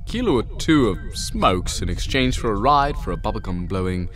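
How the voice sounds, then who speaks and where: Gruff, sarcastic starship captain. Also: male voice, medium pitch, huge pitch swings, normal speed, fairly bright tone, faint music behind. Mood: sardonic, grumbling. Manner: sarcastic, commanding. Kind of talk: sci-fi audio theatre acting.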